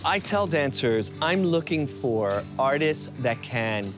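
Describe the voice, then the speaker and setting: A man's voice: medium pitch, expressive, takes it slowly. A man speaking about his dance program in an earnest, reflective interview. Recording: clear music underneath.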